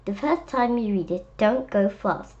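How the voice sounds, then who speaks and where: A woman's voice: mid-range voice, animated, a little slow, a little mellow, muffled recording. A woman reading a children's book aloud, adding chatty, playful asides and speaking directly to young listeners.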